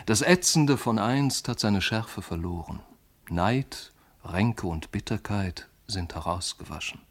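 An aging man who has mellowed into detachment. His voice sits low. He speaks in measured, poetic reflection, as in a literary radio play.

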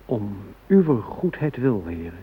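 A man acting a devout psalm reader in a radio play, reciting in a measured, reverent, declamatory way. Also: on the low side, very animated, husky, full character voice. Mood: solemn, reverent. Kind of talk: solemn scripture recitation in radio drama. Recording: thin, phone-like old radio recording.

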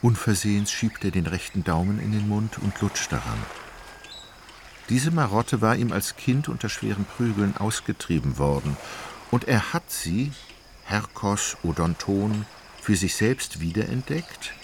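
An actor as a measured, ironic literary narrator of a radio drama, wry in manner. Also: male voice, on the low side, expressive. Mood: measured, detached. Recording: clear ambient noise behind voice.

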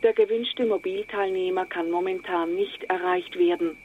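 A woman's voice: fairly low pitch, fairly even intonation, moderate pace. A woman as a recorded mobile network announcement, formal and impersonal, heard through a telephone. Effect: telephone filter. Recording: tinny, very noisy phone line with clicks.

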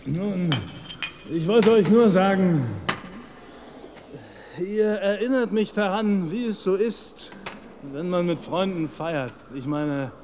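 An actor in a German radio play playing a sentimental, exuberant drunk doctor who rambles on. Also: male voice, high-pitched, background noise. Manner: boozy, rambling.

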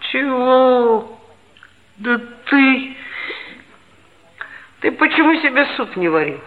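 Earnest high-voiced schoolboy narrator. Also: sing-song, slow pace, narrow, tinny audio, heavily acted. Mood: friendly, confident. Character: schoolboy narrator, geologist's son, earnest and self-assured. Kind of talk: scripted narration in a 1960s radio play. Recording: band-limited 1960s radio play recording.

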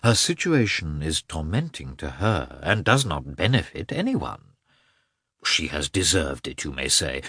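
An earnest gentleman acted by a male narrator pleads effusively in an audiobook. His pitch leaps high and swoops widely, every appeal pressed with emphasis, in a husky voice. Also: dry recording, clean studio sound, full character voice. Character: earnest, pleading, excitable gentleman begging for pity. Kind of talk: audiobook character voice.

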